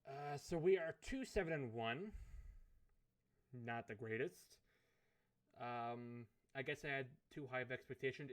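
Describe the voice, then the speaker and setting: A man with a hoarse, fading voice, thinking aloud as he commentates a hockey management game. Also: mid-range voice. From Canada. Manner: musing aloud, unhurried, thinking through choices. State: hoarse, losing his voice. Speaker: gaming commentator. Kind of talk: let's play commentary of a management sim.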